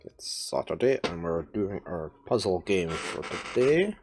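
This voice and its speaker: A man with a very low, croaky voice, hoarse from a head cold, streams a puzzle game live. He chats casually and thinks out loud as he plays, with soft game music faint underneath. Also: very animated, a little slow. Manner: chatty, thinks aloud while playing.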